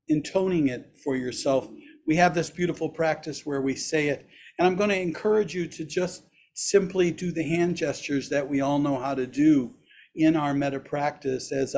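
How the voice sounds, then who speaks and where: A man with a raspy, hoarse voice leading a Buddhist service online, slowly intoning chants and gentle instructions. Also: a little high. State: hoarse after surgery. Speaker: Buddhist teacher leading practice. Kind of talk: leading a chanted metta practice.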